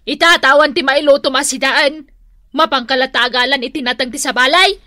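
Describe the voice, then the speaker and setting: An actress with an Ilocano accent playing a nagging, fault-finding village wife, scolding away in a radio comedy drama. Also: female voice, high voice, fairly quick, pushed and bright, no room sound.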